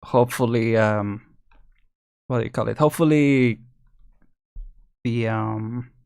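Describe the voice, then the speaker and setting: A man chatting casually and rambling as he thinks out loud on a live game stream. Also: medium pitch, slow, vocal fry, clear, resonant tone.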